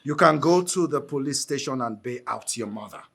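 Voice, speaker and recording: A man's voice: medium pitch, animated, a little fast, vocal fry, a bit bright, a little hiss or hum. A Nigerian man playing an angry, domineering husband in a film drama, with a commanding, emphatic delivery. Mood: cold, commanding. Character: domineering, angry husband ordering his mother-in-law out.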